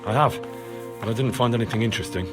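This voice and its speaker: An actor playing a blunt, persistent young investigator in a medieval video game, with a direct, questioning manner. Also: male voice, mid-range voice, fast, in a large hall, music in the background, close to an everyday voice. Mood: reporting.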